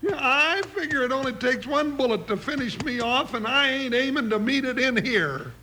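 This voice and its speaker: An actor playing a nervous, grumbling comic sidekick in a radio western, folksy and exclamatory. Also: male voice, very high-pitched, animated, moderate pace. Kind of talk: old-time radio western acting. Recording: noisy, crackly old radio recording.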